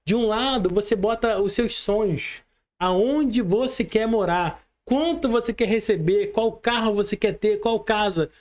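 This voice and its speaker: A man's voice: high-pitched, measured pace, a little mellow. Brazilian guy on a podcast, earnest pep talk, colloquial, keeps checking you follow. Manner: earnest, exhorting, colloquial with frequent check-in tags.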